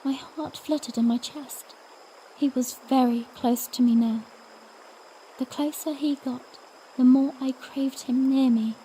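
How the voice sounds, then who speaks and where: Young princess , female actor, high and expressive, tender yet spirited, storybook audiodrama narration and dialogue. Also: measured pace, a little hiss or hum, rough recording. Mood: earnest, wistful. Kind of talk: first-person audiodrama narration with acted dialogue.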